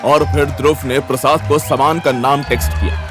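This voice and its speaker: A man's voice: mid-range voice, fairly even intonation, moderate pace. Guy narrating a hindi-style audio drama, storyteller delivery, music playing behind him. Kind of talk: dramatic scripted horror-comedy story narration. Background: background music.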